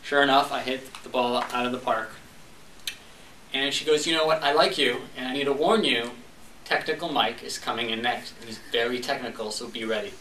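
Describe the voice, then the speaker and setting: A young man from Montreal, a French speaker, tells a funny story to a club audience and slips into the voices of the people in it. His tone is bright and forceful. He is recorded from a distance in a roomy space with faint background noise. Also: mid-range voice, fairly quick. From Montreal, Canada. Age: young adult. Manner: storytelling, acting out dialogue. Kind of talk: humorous speech. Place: club meeting room. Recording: echoey room, distant mic.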